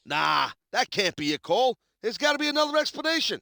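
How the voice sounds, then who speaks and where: An actor playing a streetwise, excitable color commentator in a wrestling game. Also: male voice, very high-pitched, fast talker, vocal fry, heavy background noise, heavily acted. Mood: doubtful. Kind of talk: sports commentary in a video game.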